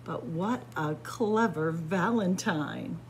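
A woman's voice: lowish voice, slow pace, a little hiss or hum, pitched below the performer's own voice. A woman voicing a clever, good-natured male rooster in a children's storybook, with a teasing manner. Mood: teasing, bright. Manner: punning, teasing, loud when crowing. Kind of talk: children's storybook character reading.

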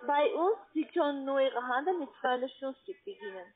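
A woman with a foreign accent and non-native phrasing, giving an insistent sales pitch as a call centre agent. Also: animated, a little fast. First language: not German. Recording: band-limited phone call audio.

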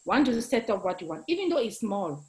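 A middle-aged woman, a native French speaker, talks into a webcam from home. She speaks with force and urges the listener on, gesturing as she goes. Her pitch sits in a middle range. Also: sing-song, a little fast. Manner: emphatic, gestures a lot, exhorting. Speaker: guest speaker, entrepreneur. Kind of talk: motivational talk on a video call. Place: home room, indoors. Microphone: video call webcam.

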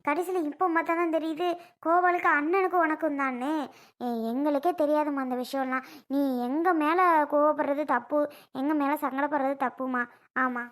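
Casting: woman as practical, anxious village girlfriend of a bride, high-pitched, southern Tamil Nadu accent, coaxing firmly, family drama. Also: fairly even intonation, moderate pace, lightly acted. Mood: worried, defensive. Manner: coaxing, admonishing. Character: worried, practical female friend of the bride.

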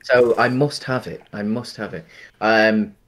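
Man, thirties, low voice, meandering chatty co-host on a headset video call. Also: fairly even intonation, a little slow. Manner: rambling, many ums and restarts. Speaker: podcast co-host. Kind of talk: casual chat. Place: home room, video call.

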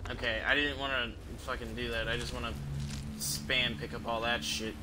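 A man's voice: mid-range voice, flat delivery, normal speed, noisy background. A man in his thirties doing casual, profane, slangy live commentary while he plays a game. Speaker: game streamer. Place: small room at home. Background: game music.

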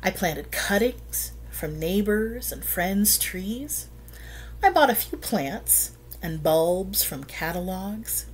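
A woman's voice: mid-range voice, animated, takes it slowly, slight background noise. A woman voicing a wise, plainspoken older woman gardener in a storybook. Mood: reminiscing.

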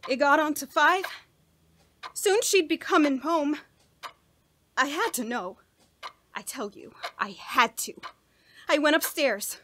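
An actress playing a guilt-ridden, unraveling woman in a radio horror play, telling her story in a halting, dramatic way. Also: high-pitched, a bit bright. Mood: tense, recounting. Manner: halting, repeating words, breaking into nervous laughs and gasps. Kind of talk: dramatic first-person horror monologue in old-radio style.

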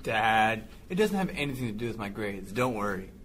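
Man playing hesitant son with lively pitch. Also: brisk, slight background noise. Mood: hesitant, worried. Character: hesitant, worried schoolboy son confiding in his dad. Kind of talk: audio drama acting.

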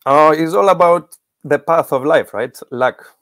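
A man's voice: fairly high pitch, measured pace, fairly bright tone, no echo, studio quality. A middle-aged man giving explanatory interview answers as a guest expert on a video call. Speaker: guest expert, academic researcher.

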